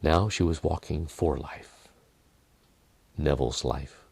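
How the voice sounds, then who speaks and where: A man reading a dramatic story in a measured manner, with deliberate pauses and softened phrases. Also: a bass voice, creaky, slightly rough, dry recording. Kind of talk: dramatic scripted narration.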